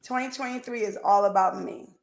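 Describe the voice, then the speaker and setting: A woman's voice: mid-range voice, fairly quick, creaky. A woman in her forties hosting a podcast over a video call, chatting casually and repeating phrases for emphasis. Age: 40s. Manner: animated, repeats phrases, faith-filled talk. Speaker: podcast host. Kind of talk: casual chat. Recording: echoey room.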